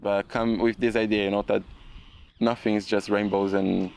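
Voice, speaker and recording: Brazilian man with a low, gravelly voice. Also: moderate pace. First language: Portuguese. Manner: relaxed, frequent "you know" asides. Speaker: guest. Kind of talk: casual podcast conversation.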